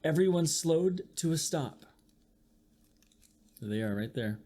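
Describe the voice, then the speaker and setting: A teacher reading a picture book aloud to young listeners, expressively and with chatty asides. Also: mid-range voice. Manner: slow, expressive, with acted-out sound effects. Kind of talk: children's story read-aloud with asides to listeners.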